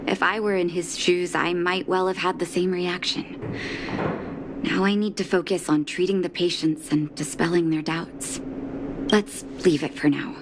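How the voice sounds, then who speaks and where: Game doctor: lower-pitched, measured, weary but earnest, over noisy scene ambience. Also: female voice, fairly even intonation. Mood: calm, apologetic. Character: composed, weary woman doctor of an underworld clinic. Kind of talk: video game cutscene acting.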